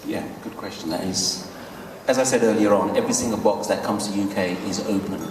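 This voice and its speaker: This man's voice sits a little low as he gives relaxed, joking answers on a panel. He is recorded from a distance, so a long echo rings around him in a big hall, with a soft murmur of the room underneath. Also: a little fast, slight background noise. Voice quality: jokey, relaxed, repeats phrases. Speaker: panelist answering audience questions. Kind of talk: casual expert talk at a live panel. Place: large convention hall. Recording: echoey hall audio from far off.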